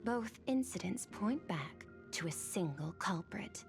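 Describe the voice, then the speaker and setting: A woman's voice: medium pitch, expressive, measured pace, gravelly. A woman voicing a formal, analytical aide in a video game, precise and deferential as she reports. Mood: businesslike. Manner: precise, deferential, matter-of-fact. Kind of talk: scripted video game dialogue. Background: prominent music.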